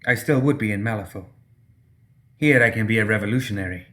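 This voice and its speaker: A male narrator gives voice to a young woman rebel in an audiobook tale. She is proud and tender with a bitter edge, speaking earnestly in a fairly low register. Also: fairly quick, fairly bright tone, lightly acted.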